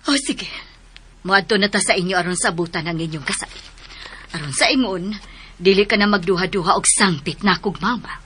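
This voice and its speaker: A woman's voice: medium pitch, fairly quick, a bit bright, natural voice. An actress in a melodramatic radio drama playing a protective, emotional mother. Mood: firm, agreeable.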